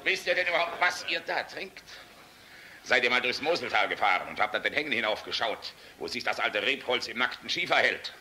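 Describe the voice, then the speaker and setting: A man's voice: medium pitch, lively intonation, fairly quick, pushed and bright, a little hiss or hum. An actor playing a jovial, outspoken, fatherly air force general in a German radio drama, hearty, sardonic and commanding. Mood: boisterous, tipsy.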